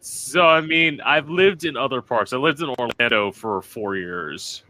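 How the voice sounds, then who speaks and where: A man's voice: mid-range voice, unhurried, bright, forceful tone, a little hiss or hum. A man from Florida hosting a casual interview, chatty and sassy, with "like" in most sentences. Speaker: podcast host.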